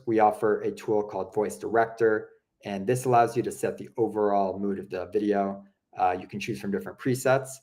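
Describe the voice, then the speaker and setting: A young man casually walking viewers through a software product, chatty and relaxed, with lots of "you know" and "um". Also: fairly low pitch. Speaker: product presenter. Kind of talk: casual explanatory walkthrough.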